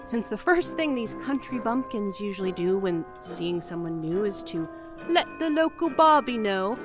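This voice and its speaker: A woman's voice: mid-range voice, very animated, normal speed, natural voice. A woman acting in an audio drama as a terrified investigator who anxiously stammers her way through a story. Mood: wry, tense storytelling. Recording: music behind the voice.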